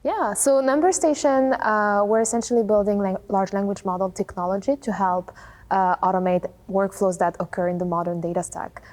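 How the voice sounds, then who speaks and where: A woman's voice: mid-range voice, steady tone, normal speed, a little mellow. A woman speaking as an interview guest, a tech founder and scientist giving explanatory answers.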